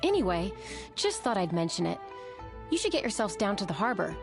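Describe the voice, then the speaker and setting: A woman's voice: medium pitch, very animated, fairly quick, vocal fry. A woman voicing a polite, friendly innkeeper in a video game, explaining things courteously. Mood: gently urging. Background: music.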